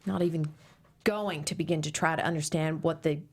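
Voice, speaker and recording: A woman's voice: low voice, fairly even intonation, brisk. An actress playing a bossy middle-aged mother at a table read, prim and scolding, swapping swear words for tame ones. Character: bossy middle-aged Midwestern mom, Sally.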